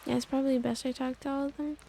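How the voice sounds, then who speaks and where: A woman's voice: high voice, flat delivery, racing, creaky, mellow, slight background noise. A woman game streamer giving casual, playful, sweary commentary on a playthrough.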